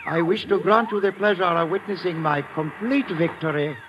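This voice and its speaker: An actor playing a suave, gloating guerrilla mastermind who speaks with formal, smug politeness in an old radio drama. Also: male voice, high voice, lightly acted. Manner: formal, measured, smugly polite. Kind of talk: 1950s radio drama acting. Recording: muffled, noisy vintage radio recording.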